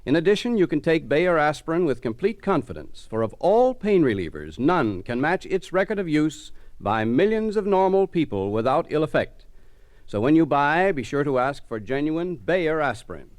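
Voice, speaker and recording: A man's voice: on the high side, big pitch swings, normal speed, no room sound. A male radio announcer with a polished, emphatic 1940s delivery.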